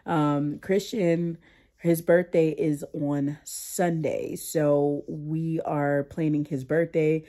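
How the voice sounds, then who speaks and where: A woman in her thirties who is sick and losing her voice, chatting casually to her vlog audience about her kids in an animated, talky way. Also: low-pitched, slow pace. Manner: chatty, animated storytelling with "like" and "y'all". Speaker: vlogger, mother. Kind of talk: casual chat to camera.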